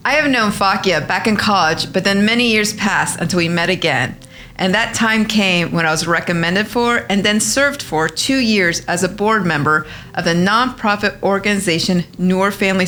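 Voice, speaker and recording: A woman's voice: mid-range voice, bright, forceful tone. A woman in her thirties hosting a podcast interview as an immigration attorney, talking in an enthusiastic, welcoming way. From United States. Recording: faint background noise.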